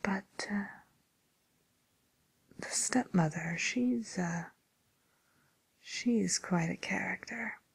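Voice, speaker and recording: A woman playing a polite, tactful matchmaker for villains in an ASMR roleplay, with a soft, whispery voice and hesitant, courteous service patter. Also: mid-range voice, drawn-out words, creaky, pauses often, breathy edge, exaggerated voice. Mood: tactful, confiding.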